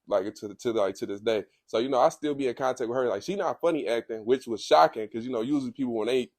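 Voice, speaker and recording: A young man with a Detroit accent, telling stories about his upbringing in a laid-back, slang-heavy way, with a low, calm voice. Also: quick pace, dry recording, clean studio sound. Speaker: guest, comedian. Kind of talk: casual interview chat.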